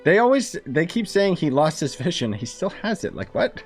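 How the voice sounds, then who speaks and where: A man's voice: a little high, sing-song, normal speed, a little mellow, soft background music. A young man giving offhand, slangy live gameplay commentary while chatting to his viewers. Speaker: game streamer.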